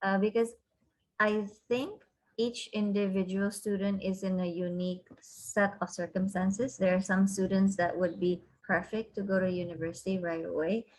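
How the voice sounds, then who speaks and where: A woman who is a university counselor, giving measured, thoughtful and encouraging answers in an interview. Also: medium pitch, little pitch movement, a little mellow, poor audio.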